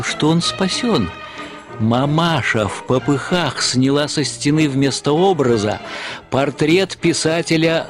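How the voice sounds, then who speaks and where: A man's voice: mid-range voice, lightly acted. A man narrating a literary comic story for a radio play. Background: music.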